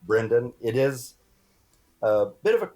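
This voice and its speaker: A man from California, a research scientist guest, speaking in a measured way with occasional fillers. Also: mid-range voice, big pitch swings, a little mellow, faint music behind. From California's San Joaquin Valley. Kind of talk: podcast interview answer.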